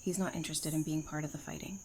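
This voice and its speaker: An actress voicing a weary but steady young woman who talks quietly. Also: low-pitched, quick pace, slight background noise, natural voice. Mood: subdued.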